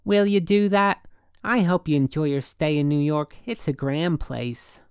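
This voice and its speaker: A woman's voice: deep voice, measured pace, clean tone, no echo, clean studio sound, pitched above the performer's own voice. A woman voicing a brassy working gal in an audiobook, slangy and suspicious, with affectedly genteel airs. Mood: flirty, gracious.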